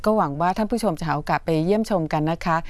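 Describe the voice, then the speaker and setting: Very fast polite woman presenter. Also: lowish voice, no echo. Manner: polite, formal with repeated courtesy particles. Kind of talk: scripted bilingual narration.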